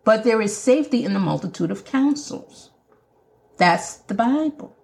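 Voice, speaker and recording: A woman's voice: a little high, animated, moderate pace, creaky, darkish tone. A woman with a Jamaican accent hosting a podcast, talking earnestly and emphatically like a sermon. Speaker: podcast host. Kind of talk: preaching podcast monologue.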